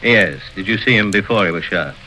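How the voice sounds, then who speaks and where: An actor in an old radio drama playing a suspicious, businesslike police inspector with a clipped, probing manner. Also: male voice, low-pitched, animated, fairly quick, sharp tone. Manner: clipped, probing, matter-of-fact. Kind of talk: 1940s-50s radio drama acting. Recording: vintage radio recording with hiss.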